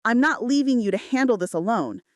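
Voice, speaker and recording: A woman's voice: fairly high pitch, animated, fairly quick, no echo, clean studio sound, voice pushed up, natural voice. A woman playing a defiant, loyal female assistant in emphatic, outspoken romance audiobook dialogue. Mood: firm, loyal.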